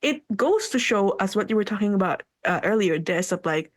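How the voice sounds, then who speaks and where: A woman's voice: mid-range voice, fairly quick, dry recording. Woman, tagalog first language, chatty podcast co-host, lots of likes and you knows, dry close sound. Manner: animated, thoughtful, many fillers and restarts, slips into French. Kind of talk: casual chat.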